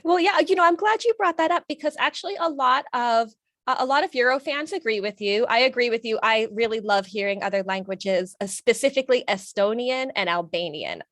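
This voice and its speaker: A woman interviewer with a fairly high voice asks long, thoughtful questions in a chatty, friendly way, pausing on fillers. The sound is close and dry, with no room echo. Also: animated, a bit bright. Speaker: interviewer host. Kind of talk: casual interview.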